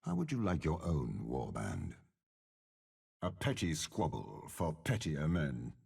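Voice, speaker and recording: An actor voicing a commanding, sinister old general in a video game, with a gravelly rasp and a slow, imperious, menacing delivery. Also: male voice, rumbling low, wildly swooping pitch, a little mellow, heavily acted. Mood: disdainful. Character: commanding, sinister old male warlord general, coldly suave. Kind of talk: video game character voice lines.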